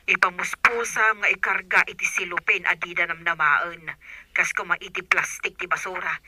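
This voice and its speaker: A woman playing a cautious, secretive aunt giving measured instructions in an audio drama, over a phone call. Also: fairly low pitch, fairly even intonation, bright, forceful tone, slight background noise. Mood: cautious, instructing. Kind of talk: Ilocano audio drama acting.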